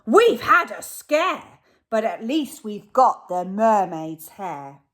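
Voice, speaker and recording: A woman voicing Hugh, a bumbling, panicky male robber in a children's storybook, with squeaky, excitable yelps. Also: slow. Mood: flustered.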